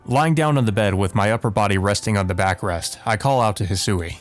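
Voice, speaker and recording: Male let's player, lowish register, casual read-aloud narration over game music. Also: a little fast. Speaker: let's play host. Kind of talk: casual commentary while reading visual novel text aloud. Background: video game music.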